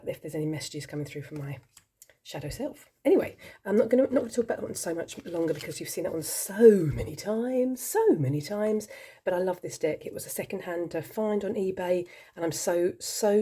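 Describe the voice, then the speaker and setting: A woman talking to camera in a rambling, chatty way with lots of ums, her voice croaky from a cold. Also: on the low side, dark tone. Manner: chatty, rambling, self-deprecating, many ums. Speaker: tarot vlogger. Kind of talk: casual chat to camera.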